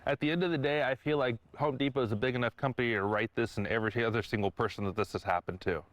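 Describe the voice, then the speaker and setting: A man's voice: mid-range voice, fairly even intonation, fast talker, fairly bright tone, no echo, low-quality recording. A middle-aged man speaking casually as a homeowner being interviewed about his own experience.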